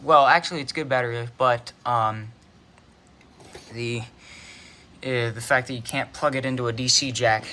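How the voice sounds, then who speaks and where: Bright-voiced man, halting and offhand. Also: medium pitch. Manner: halting, offhand, self-interrupting. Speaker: hobbyist video creator. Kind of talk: casual unscripted show-and-tell of a collection.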